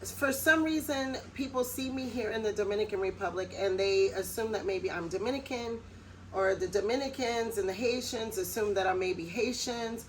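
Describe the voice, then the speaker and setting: A woman's voice: mid-range voice, poor audio. Middle-aged american lady chatting to camera, friendly and personal, bit of faint noise in the background. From United States. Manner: chatty, personal, with quick laughs. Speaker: vlogger. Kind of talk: casual talk to camera.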